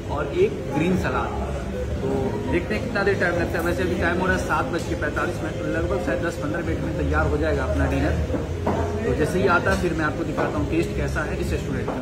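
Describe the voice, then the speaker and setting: A man's voice: fairly high pitch, quick pace. A young man giving casual food vlog commentary in a busy restaurant. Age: young adult. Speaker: food vlogger. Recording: noisy, echoey, crowded restaurant. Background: loud crowd chatter and ambient noise.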